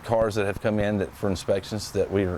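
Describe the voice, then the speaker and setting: A man working as a service advisor, giving plainspoken, halting interview answers. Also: lowish voice, little pitch movement, darkish tone, a little hiss or hum. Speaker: auto shop service advisor.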